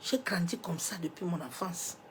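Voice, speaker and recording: A woman pastor with a cold, preaching a sermon. She swings from loud, emphatic declarations to soft, near-whispered asides and drops little rhetorical interjections as she goes. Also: fairly low pitch, animated, normal speed, slight background noise. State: has a cold, coughing.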